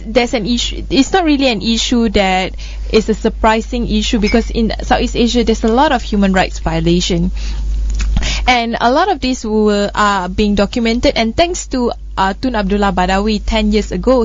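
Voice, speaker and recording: A woman hosting a radio current affairs discussion, speaking in a measured way with frequent fillers and restarts. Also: medium pitch. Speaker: radio show host. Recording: slightly muffled studio audio.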